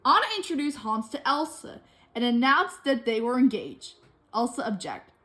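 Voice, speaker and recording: High, lively female storybook reader. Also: normal speed, soft background music. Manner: expressive storytelling. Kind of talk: expressive read-aloud of a children's storybook.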